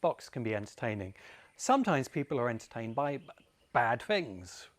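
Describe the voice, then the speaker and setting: British man, forties, mid-pitched voice, informal video essay presenter, emphatic, deliberate phrasing, pauses for effect. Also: big pitch swings. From Great Britain. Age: 40s. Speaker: host and presenter.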